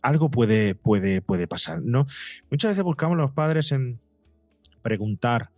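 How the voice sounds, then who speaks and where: A man's voice: mid-range voice, expressive, slow pace, darkish tone, dry recording, soft background music. A man from explains as an interview guest, didactically, posing rhetorical questions and speaking conversationally. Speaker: psychologist and podcaster, interview guest.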